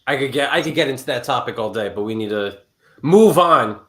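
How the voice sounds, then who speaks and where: A man from America chats as a guest writer, laying out his points step by step. His voice is of middling pitch and carries a noticeable room echo. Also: expressive, fairly quick, a bit bright. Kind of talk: casual live-stream chat.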